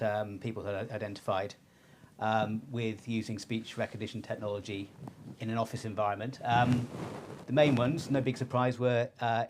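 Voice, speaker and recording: A man's voice: medium pitch, darkish tone, slight background noise. A man presenting research findings to a panel in an informal, explanatory manner.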